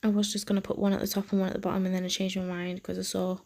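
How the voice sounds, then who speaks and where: A young woman, a nail technician, narrating a tutorial in a soft half-whisper with a slightly croaky voice. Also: medium pitch, completely flat delivery, racing. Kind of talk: tutorial voice-over.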